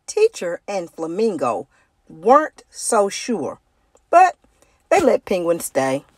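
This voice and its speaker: Animated woman reading a children's story. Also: mid-range voice, slow, a little hiss or hum. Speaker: children's story hour reader. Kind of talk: expressive picture-book narration for children.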